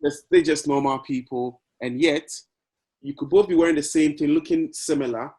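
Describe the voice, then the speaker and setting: A man's voice: a little high, fairly even intonation, normal speed, dark tone, breathy edge. A man in a casual podcast conversation, talking thoughtfully and reflecting on what he hears, often asking questions. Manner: reflective, talks things through and asks questions.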